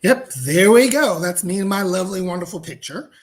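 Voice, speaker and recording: A man's voice: sits high, animated, fairly quick. A man from the United States presenting as a guest over a video call, friendly and casual with frequent ums. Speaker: guest business founder. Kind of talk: webinar presentation.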